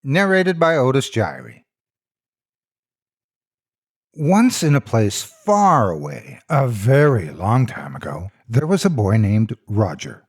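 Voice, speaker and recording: A man's voice: mid-range voice, huge pitch swings, unhurried, few pauses, slightly rough. A man narrating a scripted story in a theatrical, mock-serious storytelling style. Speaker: narrator of horror stories.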